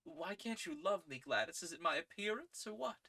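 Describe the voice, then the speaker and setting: A woman's voice: lowish voice, lively intonation, fairly quick, bright, forceful tone, husky, voice pushed up, exaggerated voice. A reader voicing an eager, lovestruck young man who pleads and stammers. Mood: pleading. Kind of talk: audiobook character reading.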